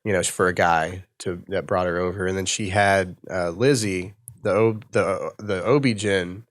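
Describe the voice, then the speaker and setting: A man's voice: deep voice, steady tone, normal speed, no room sound. A man with a Texan accent and a dry-sounding voice, chatting as a comedian guest on a podcast, rambling and laughing as he tells stories. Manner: loose, rambling, laughing storyteller. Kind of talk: casual podcast chat.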